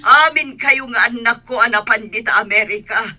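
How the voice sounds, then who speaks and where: A woman's voice: fairly high pitch, normal speed, sharp tone. An actress playing a lonely elderly mother in a radio drama, plaintive and tearful.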